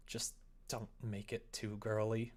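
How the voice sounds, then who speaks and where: A man's voice: lowish voice, monotone, slow. A man voicing a reserved, bitter young woman in a visual novel, speaking in a guarded, blunt way. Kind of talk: visual novel read aloud.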